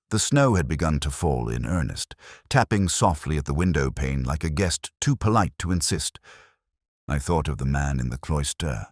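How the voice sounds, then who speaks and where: Brooding older detective , played by a man: very deep voice, wide melodic range, reflective first-person drama narration, close dry sound. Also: dry recording. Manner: measured, literary, reflective.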